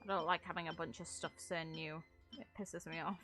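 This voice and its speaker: A woman's voice: deep voice, fairly even intonation, fairly quick, pushed and bright. A woman chatting casually as she streams a video game, rambling in a wry, mildly sweary way. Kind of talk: casual live stream chat while playing a video game. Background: game music.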